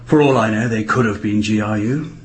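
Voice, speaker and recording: An actor playing a protective, anxious British intelligence officer and husband in a radio drama, with a British accent. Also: male voice, on the low side, fairly quick, slight background noise, close to an everyday voice. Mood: angry, insistent. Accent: British English.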